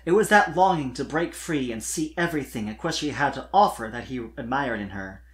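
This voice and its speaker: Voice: medium pitch, lively intonation, fairly quick. A narrator reading fan fiction expressively, in a scripted storytelling style.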